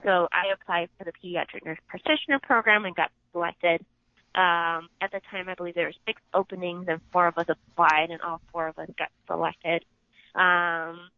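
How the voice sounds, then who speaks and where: A woman whose first language is Japanese, a Navy nurse, speaking in a lowish voice and telling her story at an easy, conversational pace with plenty of ums. She comes through a narrow, phone-like line. The sound is dry, with no room around it. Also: dry recording. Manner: conversational, many ums, recounts calmly. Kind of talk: recounting experiences in an interview.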